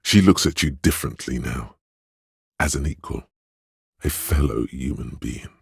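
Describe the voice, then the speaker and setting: An actor voicing a coaxing inner voice of intuition in a video game, deep and gravelly, speaking in measured, dramatic, intimate narration. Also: male voice, no room sound, clean studio sound, heavily acted. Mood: observant, measured. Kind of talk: scripted game narration as an inner voice giving social advice.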